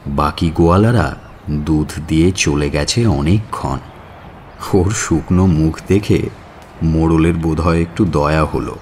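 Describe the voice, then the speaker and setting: A man narrating an audio story in a measured, expressive and dramatic storytelling style. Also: extremely low pitch, a little hiss or hum. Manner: measured, expressive, drawn-out phrasing.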